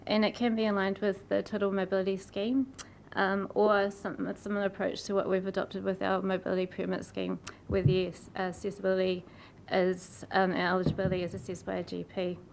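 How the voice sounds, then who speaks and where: Croaky New woman reading a submission. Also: medium pitch, little pitch movement, a little fast, hardly any pauses, a little mellow, a little hiss or hum. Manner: earnest, apologetic, laughs nervously at slips. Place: council chamber.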